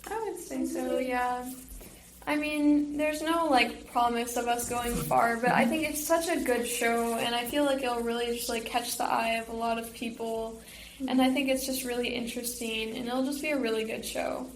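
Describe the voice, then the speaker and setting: A teenage girl answers casually as a podcast guest. Her voice is slightly high, and the recording is echoey with a faint layer of noise. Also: steady tone, normal speed.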